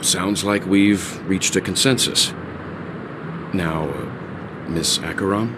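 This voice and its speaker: An actor playing a composed, analytical mature man in a video game, speaking in a measured, formal way. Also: low voice, noisy background. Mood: calm. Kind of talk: video game cutscene acting. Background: background music.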